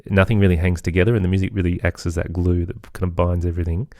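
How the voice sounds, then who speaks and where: A man with an Australian accent, talking as an interview guest in an agreeable, conversational way with softened phrasing. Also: sits low, little pitch movement, racing, no echo, studio quality. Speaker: podcast guest, musician and composer.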